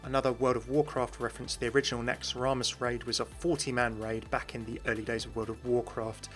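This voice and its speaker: A man's voice: mid-range voice, flat delivery, a little fast, over music. A man hosting a gaming video, explaining lore and references in an informative, enthusiastic commentary.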